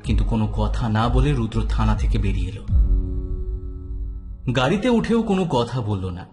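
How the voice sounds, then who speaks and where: A man's voice: fairly low pitch, animated, normal speed, over music. A man narrating a scripted detective story in a dramatic style.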